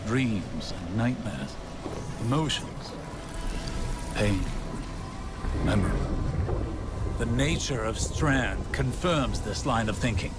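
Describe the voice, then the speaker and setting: An actor playing a brooding, analytical scholar-warlock in a video game cutscene, lecturing in a deliberate, intellectual way. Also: male voice, lowish voice, lively intonation, over loud music. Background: loud orchestral score.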